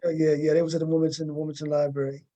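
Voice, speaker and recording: A man with a voice a little higher than average hosts a talk show. He interviews casually and conversationally, doubling back on words and phrases as he goes. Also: monotone, very fast, mellow, dull audio.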